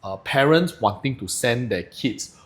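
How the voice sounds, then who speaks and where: A man's voice: mid-range voice, a little slow. A young man with a Singaporean English accent co-hosting a podcast, talking casually and thinking aloud with lots of fillers. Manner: relaxed, thinks aloud with frequent fillers and "lah". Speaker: podcast co-host. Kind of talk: casual discussion.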